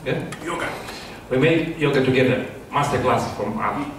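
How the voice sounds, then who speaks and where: A young man, not a native English speaker, presenting comedy on stage in halting, broken English with repeated words. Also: medium pitch. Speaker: stage performer, pantomime artist. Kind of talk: comic stage presentation to audience. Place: indoor auditorium stage. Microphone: stand microphone, picked up by far camera. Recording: distant, very echoey hall, noisy audience. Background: loud crowd and room noise.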